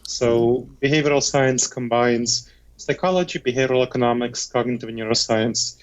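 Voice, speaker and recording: A man's voice: mid-range voice, little pitch movement, normal speed. A man from Moldova with an Eastern European accent, explaining things precisely as an interview guest over a slightly dull connection. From Moldova, former Soviet Union. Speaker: interview guest, history professor. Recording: slightly dull remote call sound.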